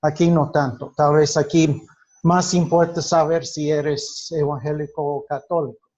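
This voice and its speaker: Slow, halting male teacher. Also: a little high, level tone, a little mellow. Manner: slow, halting delivery with many restarts, quizzing the class. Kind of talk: classroom lecture. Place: classroom. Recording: dull lo-fi recording.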